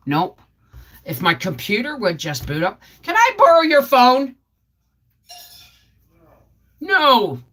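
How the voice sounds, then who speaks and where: A woman's voice: medium pitch, a bit bright. A middle-aged woman chatting casually on a live stream, rambling and answering viewer comments as she goes. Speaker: homestead channel host.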